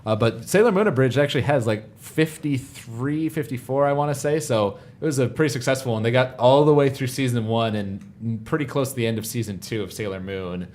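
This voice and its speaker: A man's voice: mid-range voice, brisk, hardly any pauses, darkish tone. A man talking casually and enthusiastically as a podcast panelist, explaining fan favorites in detail. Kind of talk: casual fan chat about anime parodies.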